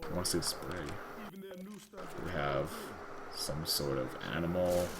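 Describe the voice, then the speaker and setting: Low-voiced man casting a game, noisy recording. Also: steady tone, a little slow. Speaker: esports match caster. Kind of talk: live game commentary with casual chat.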